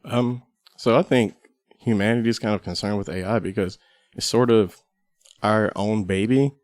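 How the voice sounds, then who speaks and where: A man with a fairly low voice takes part in a podcast panel. He talks casually and reflectively, and the sound is dry and free of room echo. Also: normal speed.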